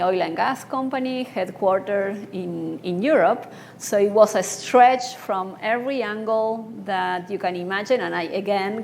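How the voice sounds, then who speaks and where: A woman's voice: medium pitch, vocal fry, darkish tone, slight background noise. A middle-aged woman from Argentina, a senior treasurer, speaking earnestly about her career on a conference panel, with restarts. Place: conference stage.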